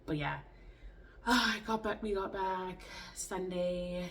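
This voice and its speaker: A woman's voice: lowish voice, takes it slowly, a bit bright, a little hiss or hum. A woman from Canada with a congested, stuffy nose, chatting casually to camera in a rambling way, full of "like" and restarts. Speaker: fitness vlogger.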